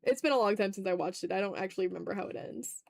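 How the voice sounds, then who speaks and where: A woman's voice: mid-range voice, racing, vocal fry, no room sound. A woman hosting a casual art livestream, chatting in a playful, slangy, exclamatory way while she draws, close to the mic.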